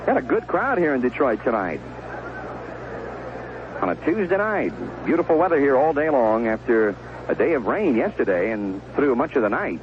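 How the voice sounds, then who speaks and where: Animated baseball announcer on noisy old radio, male. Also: mid-range voice, moderate pace. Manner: conversational, descriptive, clipped short phrases. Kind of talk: live baseball play-by-play commentary. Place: stadium broadcast booth. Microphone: broadcast microphone with headset earphone monitoring. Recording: noisy, dull 1970s AM radio broadcast.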